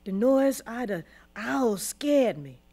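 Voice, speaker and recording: A woman's voice: on the high side, expressive, takes it slowly, vocal fry, dark tone, full character voice. A woman acting a weary, devout old formerly enslaved grandmother in a radio drama, with a Southern US accent and solemn, emotive storytelling. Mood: fearful, haunted.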